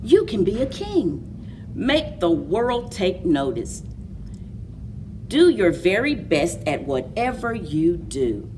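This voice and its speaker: A woman leads a story time for young children in an expressive, very slow delivery. Her voice sits a little low but swings widely in pitch. She is picked up from some way off the microphone. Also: far from the mic, slight background noise. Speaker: library story time host. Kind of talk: children's picture book read-aloud and story time.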